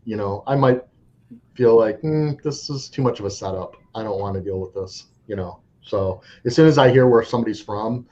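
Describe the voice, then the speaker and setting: A man's voice: on the low side, moderate pace, warm tone. A man speaking as a coin dealer guest in an interview, frank and rambling, often restarting his sentences. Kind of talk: casual interview talk. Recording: dull lo-fi audio with faint noise.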